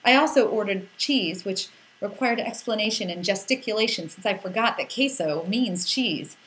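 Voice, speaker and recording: A woman reading a scripted first-person story as an expressive narrator who acts out the exclamations in it. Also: mid-range voice, normal speed, low-quality recording.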